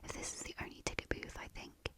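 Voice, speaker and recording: A woman's voice: quick pace, natural voice. A woman whispering as a flustered dad. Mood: puzzled. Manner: whispered, anxious. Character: shy, flustered middle-aged dad.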